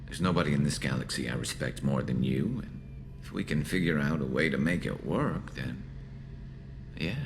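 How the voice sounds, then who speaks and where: A man voicing an awkward, earnest alien soldier in a video game, gravelly, hesitant and dryly wry. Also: a bass voice, normal speed, background noise. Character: awkward, earnest male alien soldier, battle-scarred and loyal.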